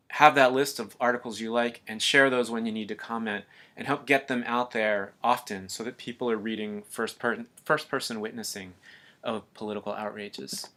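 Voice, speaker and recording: A man giving a calm, earnest scripted voice-over narration for an explainer video. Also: medium pitch.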